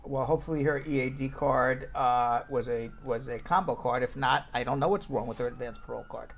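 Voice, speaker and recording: A man's voice: medium pitch, brisk, gravelly, a little hiss or hum. Blunt, matter-of-fact male legal advisor. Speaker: host, immigration lawyer answering questions. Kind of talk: casual off-the-cuff legal advice.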